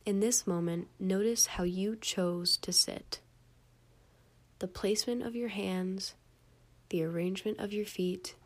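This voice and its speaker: A young adult woman reading a scripted guided meditation in a calm, gentle, measured way, with soft phrase endings. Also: lowish voice, creaky.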